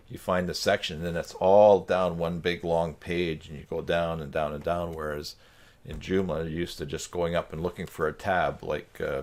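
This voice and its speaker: A man in casual conversation on a group video call, thinking aloud in a rambling, tentative way. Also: low voice. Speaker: participant in a web tutorial livestream.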